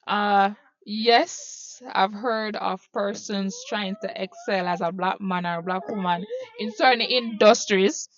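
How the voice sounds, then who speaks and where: A Jamaican woman answering interview questions slowly and hesitantly, thinking aloud as she goes. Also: mid-range voice, fairly even intonation, highs cut off, a little hiss or hum.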